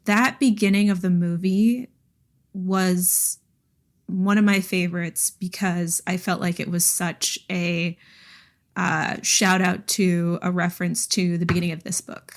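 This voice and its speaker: A woman co-hosting a podcast, chatting about books in an informal, animated way full of "like". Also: fairly low pitch, a little slow. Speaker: podcast co-host. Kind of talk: casual book discussion chat.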